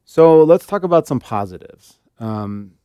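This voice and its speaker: A man's voice: medium pitch, expressive, a little slow, smooth, no echo, lo-fi sound. A middle-aged man co-hosting a casual podcast, with a wry, joking way of talking. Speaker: podcast co-host.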